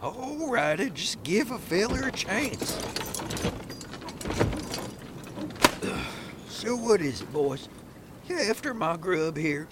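High-voiced drawling Texan cowhand. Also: male voice, big pitch swings, slow, heavy background noise, heavily acted. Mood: wry, placating. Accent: Southern US, Texan. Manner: drawling, folksy, talks to his horse. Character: good-natured folksy old-west cowhand driving a wagon. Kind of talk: old-time radio western acting.

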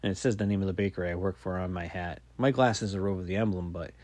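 Low-voiced wry man in his forties. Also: steady tone, fast, a little mellow, no echo. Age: 40s. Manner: rambling, wry and self-mocking; swears casually. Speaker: podcast host. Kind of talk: casual solo storytelling.

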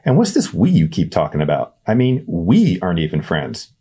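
A man's voice: low voice, huge pitch swings, normal speed, dark tone, husky, full character voice. Man doing a brusque skeptical schoolboy. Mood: scoffing. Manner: snappish, scoffing.

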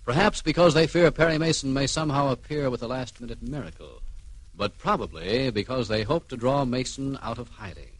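Husky dramatic male radio serial narrator. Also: mid-range voice, lively intonation, no room sound, low-quality recording. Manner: portentous, measured emphasis. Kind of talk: dramatic scripted narration, 1940s-50s radio.

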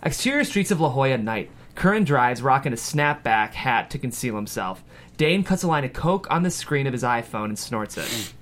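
Mid-pitched man reading screenplay narration. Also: very animated, moderate pace, a bit bright. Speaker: stage-direction narrator. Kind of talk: scripted screenplay narration at a table read.

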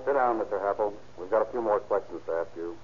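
An actor playing a relentless police interrogator in an old radio crime drama, firm and accusatory. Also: male voice, on the low side, fast talker, soft background music, close to an everyday voice. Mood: accusing, pressing. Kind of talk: 1940s-50s radio crime drama acting. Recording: dull, hissy old-time radio recording.

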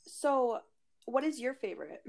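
A woman's voice: a little high, sing-song, unhurried, gravelly, a little mellow. A woman co-hosting a podcast in bubbly, gushing casual chat, sometimes groping for words. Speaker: podcast co-host.

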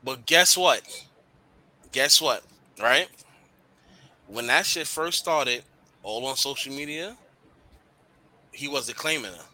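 A man's voice: medium pitch, slow, long pauses, bright, forceful tone. A man co-hosting a podcast, chatting casually and animatedly, swearing and using slang. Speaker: podcast co-host. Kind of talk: casual chat.

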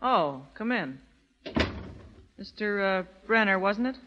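A woman's voice: medium pitch, expressive, slow. An actress playing a wary, hard-boiled woman of the rackets, curt and suspicious, in a 1930s radio drama. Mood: wary, skeptical. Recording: muffled, reverberant, noisy 1930s radio recording.

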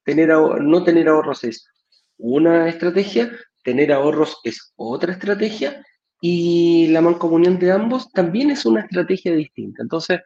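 A man co-hosting a live stream over a video call, explaining things in a measured way. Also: a little high, expressive, a little mellow. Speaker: co-host, sales director. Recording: echoey, dull video call audio.

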